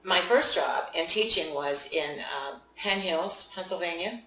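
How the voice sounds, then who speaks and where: A woman's voice: lowish voice, lively intonation, a little slow, a bit bright, slight background noise. An older woman from Virginia, chatting as an interview guest and telling stories with frequent laughs. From West Virginia. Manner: chatty storyteller, laughs often. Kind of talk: casual interview conversation. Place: studio. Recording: roomy studio sound, mic at a distance.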